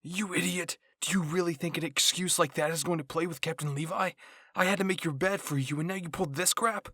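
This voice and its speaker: A man voicing hot-headed, bickering teenage boy soldiers in an anime fan fiction, loud, exasperated and snapping. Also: on the high side, steady tone, fairly quick, no room sound, clean studio sound, voice pushed up. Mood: irritated, shouting. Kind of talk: character voices in a fan fiction reading.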